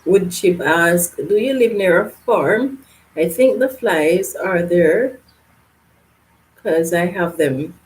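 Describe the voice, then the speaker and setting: A woman's voice: lowish voice, lively intonation, slow pace, poor audio. A woman hosting a live gardening Q&A from Canada, reading out viewer comments and answering in a chatty way that breaks into laughs. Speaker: gardening channel host. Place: home, live stream.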